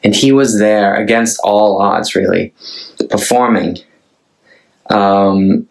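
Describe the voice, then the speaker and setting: Norwegian guy in his 30s, low gravelly voice, talks real slow, lots of ums and restarts. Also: steady tone, low-quality recording. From Norway. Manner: hesitant and thoughtful, many restarts. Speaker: singer-songwriter. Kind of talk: casual chat to camera.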